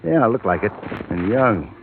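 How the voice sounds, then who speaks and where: An actor playing a gruff, reluctant confidant in a 1950s radio crime drama, blunt and conversational while holding back. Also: male voice, lowish voice, big pitch swings, a little slow, over loud music, heavily acted. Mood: musing, subdued. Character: gruff, reluctant friend of a dead policeman. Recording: muffled, noisy old radio recording.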